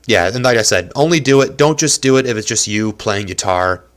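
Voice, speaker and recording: A man's voice: fairly low pitch, animated, a little fast, fairly bright tone. A young man hosting a casual solo podcast from a small apartment, rambling and self-deprecating, with a nose stuffed up from allergies. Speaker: podcast host.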